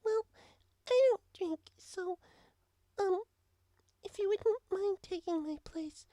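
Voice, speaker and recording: A woman voicing young female cartoon ponies in an expressive story reading. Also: very high voice, takes it slowly, dry recording, studio quality. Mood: timid, apologetic. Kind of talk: animated character dialogue in a fan fiction reading.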